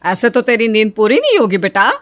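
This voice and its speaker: A woman's voice: on the high side, sing-song, fairly quick, no echo, studio quality. A woman voicing a practical, protective middle-aged mother-in-law in a cartoon story, speaking in an emphatic motherly way. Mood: concerned, firm.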